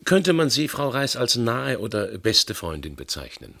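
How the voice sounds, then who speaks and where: An actor playing a matter-of-fact police detective in a German radio crime drama, questioning in a clipped, methodical way. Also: male voice, medium pitch, big pitch swings, unhurried, gravelly, pushed and bright, heavily acted. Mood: probing. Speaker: police investigator.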